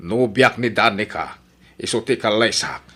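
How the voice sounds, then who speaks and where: A man's voice: lowish voice, moderate pace, a bit bright, slight background noise, lightly acted. A man with an Ilocano accent playing a flustered older village grandfather in a radio comedy drama, exclaiming and stammering. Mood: defensive, pleased.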